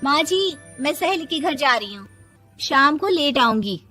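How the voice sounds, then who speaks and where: A sassy young daughter-in-law played by a woman speaks in a high voice with music underneath. She is cheeky and defiant, always ready to argue back in a moral story cartoon. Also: animated, unhurried, pushed and bright, heavily acted. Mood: casual. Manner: cheeky, argumentative. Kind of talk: animated moral story voice acting.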